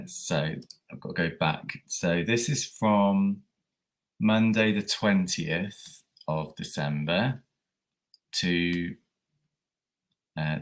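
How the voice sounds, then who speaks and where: A man with a croaky voice from a coming cold, chatting casually with many fillers as he hosts a reselling livestream. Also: sits low. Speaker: eBay reseller livestream host.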